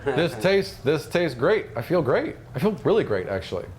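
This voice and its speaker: A man improvising narration as game master of a tabletop fantasy game, casual and animated, acting out sound effects and reactions. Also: fairly high pitch, moderate pace, a crowd in the background. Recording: clearly noisy recording.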